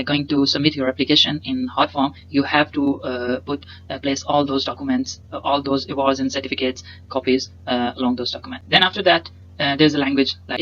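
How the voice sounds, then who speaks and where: A young man whose first language is Punjabi, giving a webcam lesson and explaining slides in a halting way, full of fillers and repeated words. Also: mid-range voice, fairly bright tone, lo-fi sound, a little hiss or hum. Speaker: instructor. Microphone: webcam in a corner inset.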